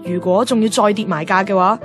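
Actress doing young hk cantonese gal bestie, blunt n naggy, dramatic radio play delivery, music under it. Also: female voice, medium pitch, steady tone, brisk, natural voice. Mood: wry narrating. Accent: Hong Kong Cantonese. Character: blunt, nagging young female best friend, radio DJ. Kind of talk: radio drama acting and narration.

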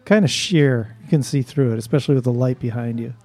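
A man's voice: mid-range voice, fast talker, no echo. A man in his seventies, co-hosting a radio show with casual, hesitant, rambling banter and frequent laughs. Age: 70s. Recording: loud guitar music behind.

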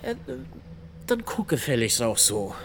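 An actor playing an irascible, overbearing film director who scolds and stammers for emphasis in a radio drama. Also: male voice, mid-range voice, sing-song, measured pace, slight background noise. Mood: scolding.

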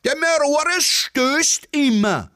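A tattling Viennese schoolboy for a satirical radio play. The voice jumps very high and swings about, whining and pleading. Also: male voice, animated, slow pace, pushed and bright, no echo, clean studio sound, heavily acted. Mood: whining complaint.

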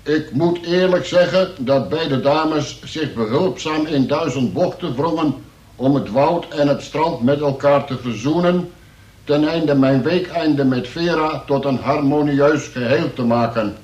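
A man's voice: fairly high pitch, unhurried, a little mellow, echoey room, recorded from a distance, lo-fi sound, close to an everyday voice. An actor playing a wry arrested man who narrates his statement in mock-formal style for a Dutch radio play. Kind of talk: scripted first-person radio play narration.

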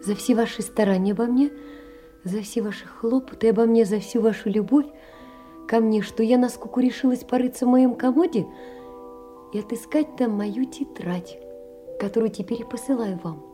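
Tender young woman, acted by an actress, mellow and high. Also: normal speed, faint music behind, close to an everyday voice. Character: poor, tender young woman, devoted and anxious. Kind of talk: theatrical audio drama, reading letters and memoirs.

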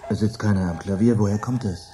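An actor playing a shaken middle-aged dinner host, speaking in a hushed, disbelieving way in a radio play. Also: male voice, lowish voice, fast, over music, natural voice. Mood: uneasy.

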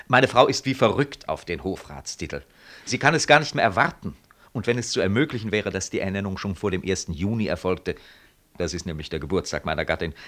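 A man's voice: sits low, a bit bright. A vain professor in a 1960s radio drama. He is jovial and gushing, forever angling for favour and prompting his listener. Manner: gushing, jovial, ends remarks with "Wie?". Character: vain, fawning married professor hungry for a title. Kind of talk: 1960s German radio play acting.

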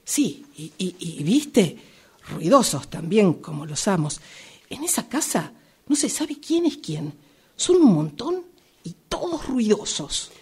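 A woman in an amateur radio drama playing a grumpy, pious retired old woman with a husky Rioplatense Argentine accent, complaining and exclaiming melodramatically. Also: mid-range voice, sing-song, slow, dark tone, heavily acted. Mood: disapproving.

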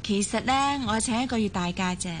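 Fairly high-voiced, determined young surgeon played by a woman, Cantonese. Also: brisk, a little hiss or hum, lightly acted. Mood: intrigued, storytelling. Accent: Hong Kong Cantonese. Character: friendly, determined, curious young female surgeon. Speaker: radio drama actor. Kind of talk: radio drama acting.

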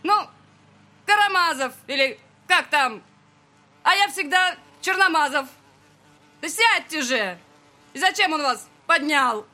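Shrill, childish half-mad mother. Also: lively intonation, bright, forceful tone, heavily acted. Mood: childishly capricious. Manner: childish, whiny, drawn-out. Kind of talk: theatrical audiobook drama.

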